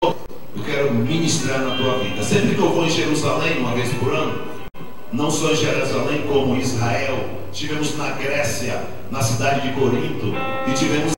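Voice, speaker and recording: A man in his thirties from Brazil, an apostle preaching a fervent Pentecostal sermon into a handheld mic in a large church hall. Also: moderate pace. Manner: fervent, emphatic preaching, pitch surging on key words. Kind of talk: Pentecostal sermon with offering appeal. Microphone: handheld microphone. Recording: echoey church hall, distant mic, music behind.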